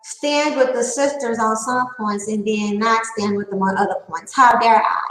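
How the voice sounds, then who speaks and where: A woman's voice: a little high, steady tone, normal speed, a bit bright, roomy sound, faint music behind, rough recording. A woman nearing fifty giving a candid opinion monologue, emphatic and repeating her phrases for effect. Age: late 40s. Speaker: online panel talker.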